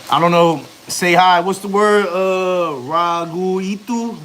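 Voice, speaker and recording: A man's voice: high voice, slow, fairly bright tone, a little hiss or hum. A man in his thirties, a family vlogger, chatting casually on a live stream in slang, rambling and chatty. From United States. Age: 30s.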